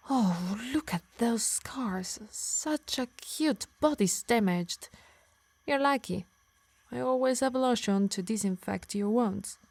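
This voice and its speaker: A woman's voice: a little high, expressive, slow pace, gravelly, no room sound, full character voice. A woman playing a possessive, teasing harpy healer who scolds fondly in an ASMR roleplay. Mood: concerned, tender. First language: Italian.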